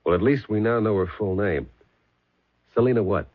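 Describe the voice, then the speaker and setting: A dogged police detective in an old radio drama. His voice is low and rough, his questions terse and probing. The recording is thin and tinny. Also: animated, normal speed. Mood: probing, insistent. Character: dogged, businesslike male police detective sergeant. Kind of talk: 1970s radio drama acting.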